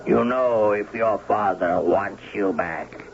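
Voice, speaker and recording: Slow, gravelly, menacing man-fish. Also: on the low side. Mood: grave, resigned. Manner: solemn, formal, ominous. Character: menacing robed man-fish, grave undersea emissary of a father. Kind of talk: 1970s radio drama acting. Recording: dull, lightly noisy 1970s radio recording.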